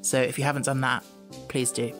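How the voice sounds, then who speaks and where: A man's voice: mid-range voice, flat delivery, fairly quick, a bit bright, soft background music. Man, audiobook host, casual scripted announcement, addressing listeners directly. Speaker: audiobook narrator and channel host.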